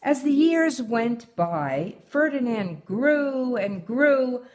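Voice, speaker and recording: A woman's voice: fairly high pitch, lively intonation, extremely slow, darkish tone. An older woman reading a children's picture book aloud as a guest storyteller.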